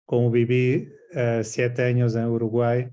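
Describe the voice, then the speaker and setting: A native Portuguese-speaking man with a Portuguese accent and Rioplatense traces, giving a reflective personal talk in a halting, thoughtful way. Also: mid-range voice, completely flat delivery, mellow, clean tone, lo-fi sound. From Portuguese-speaking Africa. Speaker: guest speaker, coach. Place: at home, by bookshelves, on a video call.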